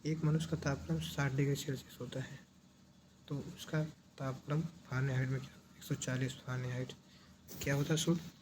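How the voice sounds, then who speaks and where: A man's voice: mid-range voice, flat delivery, a little fast, noisy background. A man teaching an exam revision lesson in question-and-answer form, didactic, asking questions and repeating the answers.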